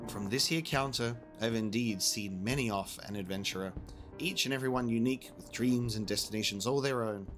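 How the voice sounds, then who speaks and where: A man voicing a shrewd, motherly female guild receptionist in a role-playing game, reading her lines with gentle, measured delivery over game music. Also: medium pitch, natural voice. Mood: wise, reflective.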